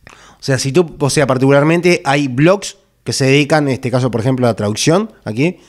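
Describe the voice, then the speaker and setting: Halting man. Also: mid-range voice. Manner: halting, repetitive, with frequent tag questions. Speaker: video creator. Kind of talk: casual unscripted talk to camera. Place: small bare indoor room. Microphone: microphone on a boom arm, close.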